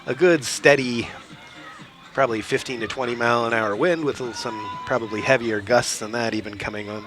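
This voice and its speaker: A man with a hoarse voice from a cold, giving relaxed play-by-play for a soccer match with small restarts along the way. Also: mid-range voice, fairly quick, over music. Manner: relaxed, steady, chatty, with small restarts and throat clearing. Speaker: play-by-play commentator. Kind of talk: live sports commentary. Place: outdoor soccer field.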